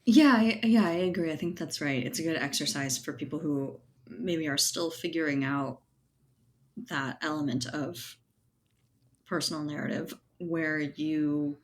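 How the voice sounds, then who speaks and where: A woman's voice: low-pitched, a little slow, slight background noise. A woman speaking as a writer being interviewed, reflective and conversational, often checking in with "right" and "you know". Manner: thoughtful, hedging, often ends phrases with right or you know. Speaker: podcast guest, writer and editor.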